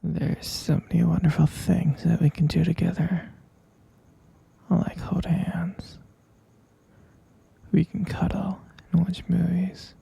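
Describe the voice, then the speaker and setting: A man voicing an obsessive, possessive young boyfriend who keeps his partner captive, talking in a soft, coaxing whisper close to the mic. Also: sits high, little pitch movement, slow pace, vocal fry, pauses often, slightly rough, heavily acted. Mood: sweetly obsessive. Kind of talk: whispered ASMR roleplay.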